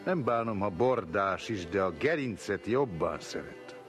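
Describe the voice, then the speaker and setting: An actor playing a fussy, food-loving gentleman diner who orders in a savoring, particular, old-fashioned way in a dramatized literary reading. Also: lowish voice, lively intonation, soft background music, lightly acted.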